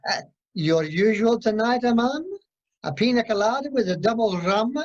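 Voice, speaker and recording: A woman's voice: on the high side, lively intonation, moderate pace. Woman acting gushing male lounge waiter, dull audio. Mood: attentive. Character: flattering, effusive cruise ship lounge waiter. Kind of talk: amateur radio comedy acting. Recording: dull online meeting audio.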